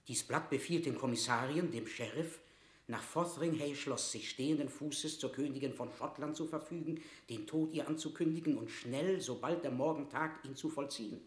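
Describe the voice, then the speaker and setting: An actor playing an anxious, dutiful royal secretary in a classic radio drama, speaking in a formal, pleading, theatrical manner. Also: male voice, mid-range voice, moderate pace, lightly acted. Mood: grave, hesitant. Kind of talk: classic German radio play acting of verse drama. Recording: roomy, reverberant radio drama studio.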